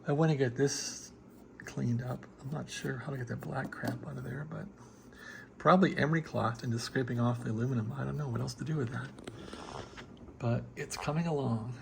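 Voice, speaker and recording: A gravelly-voiced American man talks casually through a hobby project at an ordinary male pitch. His delivery is unscripted, folksy and rambling. It is recorded on a handheld phone with faint noise behind him. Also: steady tone, brisk, poor audio. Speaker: radio hobbyist showing a restoration project. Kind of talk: casual unscripted show-and-tell.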